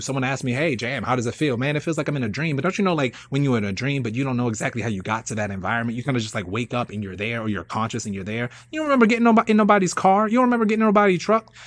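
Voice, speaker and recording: Casting: male playwright-performer type, medium pitch, informal hype talk, slangy and emphatic repetition. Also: sing-song, quick pace, fairly bright tone. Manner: hype-man slang, repeats phrases for emphasis. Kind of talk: casual self-promoting chat.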